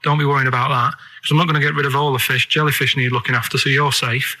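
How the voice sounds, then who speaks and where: A Northern English man with a bright, forward voice at an ordinary pitch. He chats on the radio in a rambling, earnest way, pressing naive points persistently. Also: level tone, fast talker, poor audio. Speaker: radio show regular making a phone call. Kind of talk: rambling comic radio chat.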